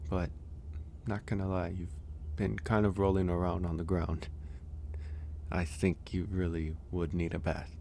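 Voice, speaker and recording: Guy playing a soft-spoken teasing werewolf for asmr, really low and gravelly, bit of background noise. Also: flat delivery, unhurried. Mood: caring. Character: gentle, teasing male werewolf caring for a guest. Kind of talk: intimate ASMR audio roleplay.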